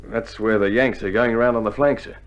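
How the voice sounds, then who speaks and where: An actor playing a steady, matey Australian soldier, laconic and down-to-earth, in a 1960s radio drama. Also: male voice, lowish voice, fairly quick, close to an everyday voice. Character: cheerful, steady, laconic infantry soldier under fire. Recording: noisy old vinyl radio drama recording.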